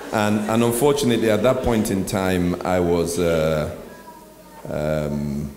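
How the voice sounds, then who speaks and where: A man, a pastor, speaking reflectively in an onstage interview and pausing with frequent ums. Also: low voice, a little mellow. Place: large hall. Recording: echoey hall with faint noise.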